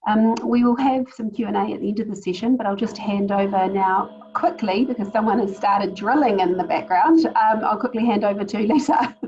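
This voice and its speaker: A woman hosting a webinar over a video call, talking haltingly with many ums and uhs. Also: mid-range voice, darkish tone. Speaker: webinar host. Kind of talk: moderating a webinar. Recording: dull lo-fi video call audio.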